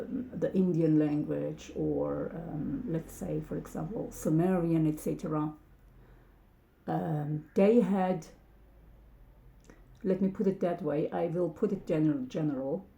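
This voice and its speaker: Slow, halting woman with gravelly voice. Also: low-pitched, warm tone, echoey room, slight background noise. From Turkey. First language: Turkish. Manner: hesitant, many ums and restarts. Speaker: channel host. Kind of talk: casual talk to camera.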